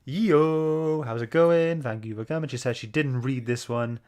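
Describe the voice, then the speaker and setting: Guy hosting a casual book club stream, chatty and informal, ordinary mid-pitched voice. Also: unhurried, darkish tone, smooth. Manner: informal, chatty, greets chat viewers by name. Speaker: host.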